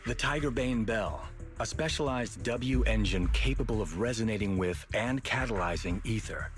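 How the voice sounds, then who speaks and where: An actor voicing a cynical, cold mastermind in a video game, speaking in a dry, measured, condescending way. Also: male voice, lowish voice, close to an everyday voice. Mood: cold, explanatory. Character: cynical, cold male tiger-clan schemer, condescending mastermind. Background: music.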